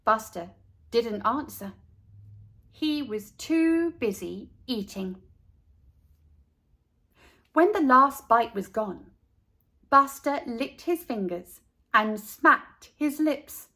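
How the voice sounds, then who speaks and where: A woman narrating a children's storybook expressively, with deliberate, dramatic pauses. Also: fairly high pitch, expressive, bright, forceful tone. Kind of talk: expressive children's read-aloud.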